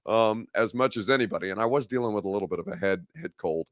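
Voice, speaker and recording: A man hosting a live-stream sports talk show, hoarse and gravelly from a head cold, rambling and conversational as he reads viewer comments. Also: lowish voice, fast talker, no room sound, studio quality. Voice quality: gravelly, growly.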